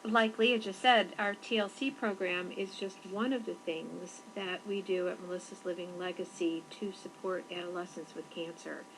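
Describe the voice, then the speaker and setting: Middle-aged female founder, steady personal answers, lower pitch, clear hiss behind. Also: moderate pace, few pauses, rough recording. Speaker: nonprofit founder. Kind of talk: interview answers. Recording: noticeable background hiss.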